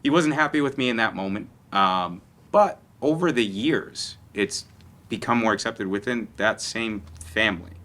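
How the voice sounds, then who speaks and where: A man's voice: fairly low pitch, moderate pace, a bit bright. A man speaking earnestly as a panelist in a conversational discussion, often repeating words as he goes.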